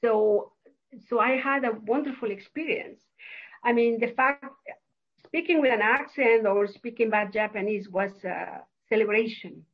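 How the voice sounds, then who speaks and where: Mexican accent lady, kinda high voice, talks slow with lots of ums. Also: husky, lo-fi sound. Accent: Mexican Spanish. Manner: hesitant, many fillers and restarts. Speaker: professor and memoir author. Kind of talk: interview answers.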